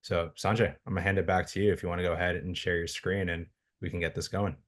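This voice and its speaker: Low-voiced male webinar host, casual moderator with fillers, on video call. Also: steady tone, fast. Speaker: webinar host, content marketing director. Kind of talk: hosting a webinar.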